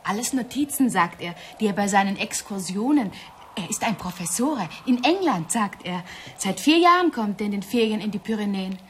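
An actress playing an eager, obedient young innkeeper's daughter in a radio drama. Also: female voice, mid-range voice, expressive, a little fast, a little hiss or hum, lightly acted. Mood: chatty, impressed. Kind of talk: 1970s German radio drama acting.

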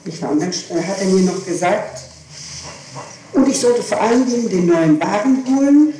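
A woman with a soft, dark voice gives a detailed statement under questioning. She insists on particulars and halts to correct herself. The old tape is heavily echoey, with noise running underneath. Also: medium pitch, animated, moderate pace. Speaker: suspect being questioned. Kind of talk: recounting events under interrogation. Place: echoing room, archival tape recording.

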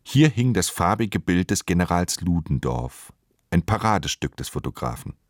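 A man giving a scripted literary narration for a radio play, measured and precise in his diction. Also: deep voice, very animated, no room sound, clean studio sound.

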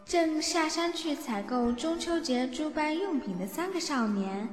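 A woman's voice: high-pitched, animated, measured pace. A woman narrating a scripted audio drama as storyteller, recorded with reverb. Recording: echoey reverb under music.

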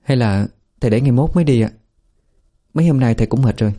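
A man voicing a polite young female apprentice who speaks hesitantly and quickly. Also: mid-range voice, warm tone, pitched above the performer's own voice, lightly acted.

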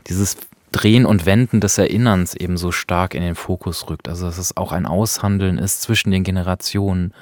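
A man's voice: deep voice, dry recording, studio quality. A man co-hosting a radio discussion of literature in a reflective way. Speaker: radio co-host. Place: radio studio.

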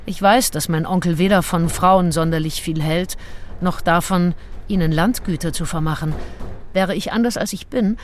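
An actress playing a candid, passionate, willful Englishwoman confiding her diary in an intimate radio play, with deliberate phrasing. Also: on the low side, a little hiss or hum, close to an everyday voice. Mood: resolute. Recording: studio radio play with music behind.

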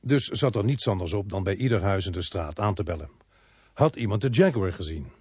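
An actor playing a sober, sardonic police inspector who narrates a murder case in a radio play. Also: male voice, low voice, animated, a little fast, darkish tone, husky, no room sound. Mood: sober, recounting.

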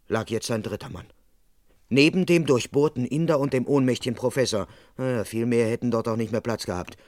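Man playing a deadpan, officious police constable. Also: mid-range voice, close to an everyday voice. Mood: deadpan narrating. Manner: deadpan narration, brusque official questioning. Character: officious, suspicious British police constable, male, narrating his case. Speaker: narrator and lead character. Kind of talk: German radio crime drama acting.

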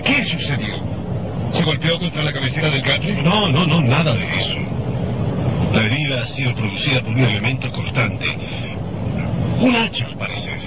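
A man's voice: medium pitch, animated, moderate pace, pauses often, natural voice. An actor with a Chilean accent playing a brusque, perplexed man of a military hospital in an old radio drama. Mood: perplexed, agitated. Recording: muffled, very noisy old radio recording.

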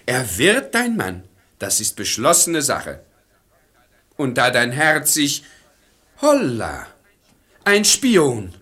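A man's voice: medium pitch, wildly swooping pitch, slow pace, long pauses, sharp tone, heavily acted. An actor playing a stubborn, irascible, domineering father in a theatrical radio play in verse, declaiming with pompous emphasis. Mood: irritated, commanding.

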